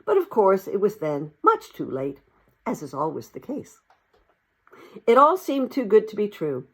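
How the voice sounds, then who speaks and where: A woman narrating a classic storybook expressively, as an audiobook reader. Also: mid-range voice.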